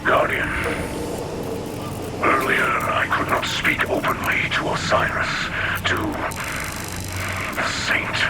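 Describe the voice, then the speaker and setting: An actor playing a grave, gentle alien leader in a video game, with a breathy rasping voice and halting, formal phrasing. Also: male voice, lightly acted. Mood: troubled, solemn. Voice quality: breathy, rasping whisper-like rumble.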